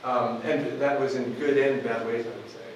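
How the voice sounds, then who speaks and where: A man's voice: mid-range voice, normal speed. Man lecturing in an echoey hall. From Buffalo, New York. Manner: relaxed, self-deprecating, frequent ums and restarts. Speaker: university professor. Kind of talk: public lecture with slides. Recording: echoey hall from far off with noise.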